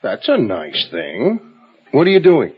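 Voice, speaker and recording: A man's voice: mid-range voice, huge pitch swings, measured pace, breathy edge, exaggerated voice. An actor playing an easygoing, affectionate husband and father in an old radio drama, casual and attentive. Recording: dull old radio recording.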